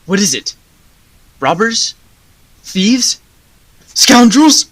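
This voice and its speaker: A teenage actress playing a proud, stingy male baker in a high school radio play, with an emphatic, scolding delivery. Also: big pitch swings, drawn-out words, full character voice. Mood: irritated, bossy.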